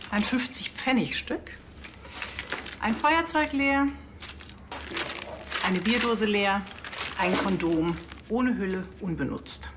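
Brisk female forensic pathologist, factual delivery, medium pitch, noisy TV drama scene. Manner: matter-of-fact. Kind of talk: TV crime comedy acting. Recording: clear background noise and clicks.

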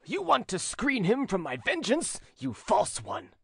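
A man voicing an enraged tall young duke with a loud, commanding delivery in an audiobook. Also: high voice, wildly swooping pitch, fairly quick, creaky, slightly rough, no echo, clean studio sound, pitched above the performer's own voice, heavily acted. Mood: furious. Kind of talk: audiobook reading.

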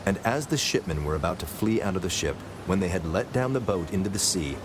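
A man narrating scripture from a script in a measured, solemn manner, as part of a dramatized reading. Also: sits low, expressive, background noise.

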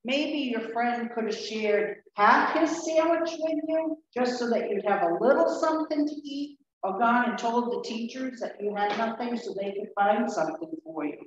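Woman, high voice, children's sermon, dull distant church recording. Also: animated, slight background noise. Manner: slow, gentle questioning of a child. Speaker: children's message leader. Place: large church sanctuary. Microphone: lectern mic from a far camera. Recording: echoey, dull church room recording from afar.

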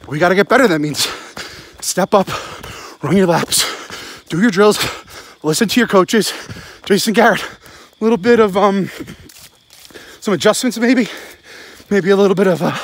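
Man in his forties, raspy slightly high voice, blunt, profane, off-the-cuff fan commentary, clipped emphatic phrases, outdoors on a close phone. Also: dry recording. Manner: blunt, heavy swearing, emphatic short bursts; talking while walking laps outdoors. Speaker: sports vlogger, football fan. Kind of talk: casual postgame rant, rambling to camera. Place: outdoors at night. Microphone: phone held close.

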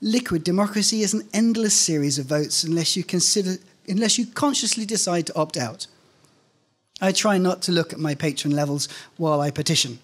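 A man's voice: high voice, fairly quick, husky. A middle-aged man reading a scripted first-person story aloud on stage into a stand microphone. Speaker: reader of a short story at a live event. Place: indoor stage at a live reading event. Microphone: microphone on a stand, close in front.

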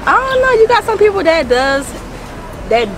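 Hesitant young woman with a lively high voice. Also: moderate pace, heavy background noise. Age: young adult. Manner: hesitant, giggly, with filler ums. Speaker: interviewee. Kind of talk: casual street interview. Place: outdoors by a parking lot. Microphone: interviewer's handheld phone.

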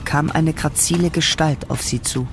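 A man's voice: on the high side, steady tone, moderate pace, creaky. A man narrating a radio drama from a script. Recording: music behind the voice.

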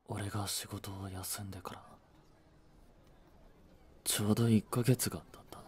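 A man playing a blunt, devoted young boyfriend in a romantic voice drama, with a breathy voice and heavy emotion. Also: on the low side, steady tone, a little slow, a little mellow. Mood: numb, hushed narration. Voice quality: breathy, slightly husky. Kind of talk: romantic Japanese voice drama acting.

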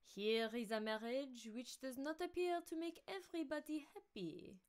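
A woman voicing a sly, mocking man with a nasal, drawn-out delivery in a novel reading. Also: high voice, voice pushed up, lightly acted. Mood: sly, taunting. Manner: drawn-out, taunting. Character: sly mocking man goading a lovesick rival.

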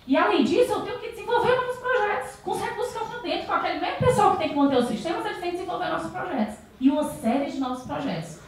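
A woman's voice: very high voice, animated, racing, hardly any pauses. A woman in her thirties from Brazil giving a conference talk on stage with a handheld mic, often adding tag questions and restarting sentences. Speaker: conference speaker. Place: large auditorium stage with audience. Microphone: handheld microphone. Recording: very echoey hall, voice from far off.

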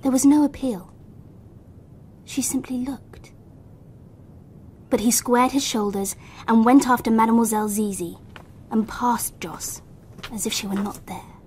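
An actress playing an observant, wistful narrator in a radio drama, telling the story in a measured, breathy voice. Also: female voice, a little high, mellow, a little hiss or hum, lightly acted.

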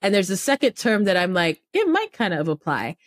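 A woman's voice: medium pitch, a little fast, no room sound, clean studio sound. A young woman hosting a podcast interview, talking animatedly and checking in with "right?" as she goes. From Cameroonian family, raised partly in the United States. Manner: animated, affirming, frequent "right?" tags. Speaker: podcast host. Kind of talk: conversational interview.

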